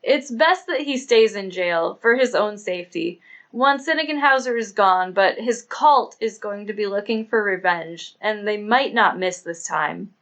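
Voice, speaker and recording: A man voicing a sober, skeptical female police inspector, reasoning in a measured, firm way in an audiobook. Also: high-pitched, fairly bright tone. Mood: cautious.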